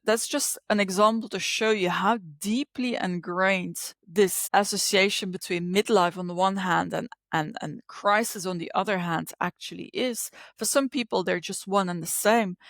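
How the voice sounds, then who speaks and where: A woman in her forties from Belgium, a psychologist and coach, speaking as a podcast guest in a reflective, unhurried way with frequent ums and restarts. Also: mid-range voice, fairly bright tone, no room sound, clean studio sound. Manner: thoughtful, with many ums and restarts. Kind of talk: conversational interview.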